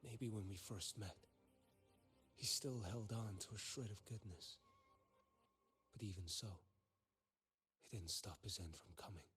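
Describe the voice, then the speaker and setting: An actor playing a stern, guilt-laden warrior in a video game, speaking in a measured, somber and formal way. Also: male voice, on the low side, a bit bright, faint music behind. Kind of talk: dramatic video game acting.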